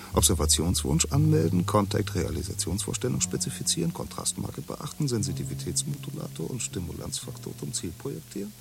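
A man's voice: fairly low pitch, gravelly. An actor playing a chatty man in a bar who brags at length and rambles in technical jargon, in a radio play. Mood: explaining. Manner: boastful, rambling, lapses into jargon. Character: chatty male bar patron boasting of fantasy sessions.

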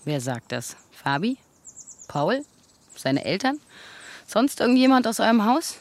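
An actress playing a patient middle-aged questioner in naturalistic radio drama, calm and sparing with words. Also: female voice, mid-range voice, a little slow, no room sound. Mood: probing, calm.

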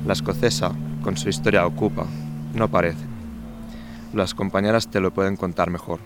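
A man's voice: deep voice, steady tone, normal speed, over music. A man explaining in a documentary narration style.

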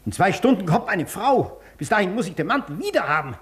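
An actor in a German radio play, playing an agitated, imperious gentleman doctor with a formal, demanding, impatient manner. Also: high voice, very animated, brisk. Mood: stern, urgent.

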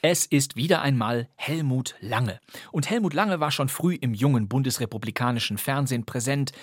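Husky man presenting in a dry studio. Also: mid-range voice, no echo. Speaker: podcast host presenting classic radio crime plays. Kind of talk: scripted presenter narration.

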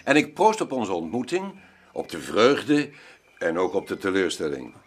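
An actor in a radio play as a courteous, wealthy male host, speaking formally and deliberately with curiosity. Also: sits low, sing-song, husky, exaggerated voice. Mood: gracious. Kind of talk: radio drama acting.

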